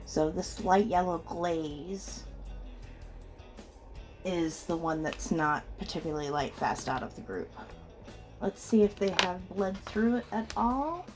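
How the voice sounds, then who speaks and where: A woman with a slightly rough, under-the-weather voice, giving a chatty, casual unboxing commentary as host of an art channel. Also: mid-range voice, measured pace, long pauses, darkish tone, soft background music. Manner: chatty, reacts aloud with small exclamations. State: rough voice from being ill.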